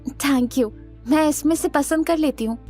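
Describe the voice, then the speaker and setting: A woman playing a family shopper on a budget in audio drama dialogue, earnest and expressive, mixing in English words. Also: high-pitched, measured pace, faint music behind. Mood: hesitant, polite. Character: women of a middle-class family out shopping on a budget.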